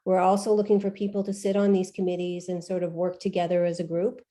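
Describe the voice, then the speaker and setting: A woman running a volunteer orientation, speaking haltingly with many restarts and repeated words. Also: lowish voice, little pitch movement, dark tone, slight background noise. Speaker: media company CEO. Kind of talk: presenting at an online orientation.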